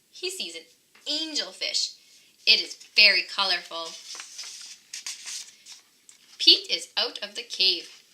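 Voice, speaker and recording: A very bright female voice narrates a children's picture book slowly. Her delivery is expressive and sing-song, with lively pitch swings. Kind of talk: scripted storybook narration.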